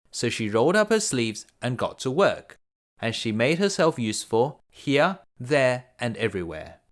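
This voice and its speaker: A man tells a fairy tale to young children. His mid-range voice moves up and down in a lively way. He phrases each line deliberately and expressively, like a bedtime reading. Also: medium pitch. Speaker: storyteller.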